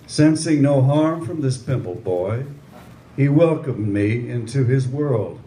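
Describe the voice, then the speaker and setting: Older male poet, slow and deliberate live reading, echoey room with faint noise. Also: medium pitch, warm tone. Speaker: amateur poet. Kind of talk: poetry reading with informal introduction.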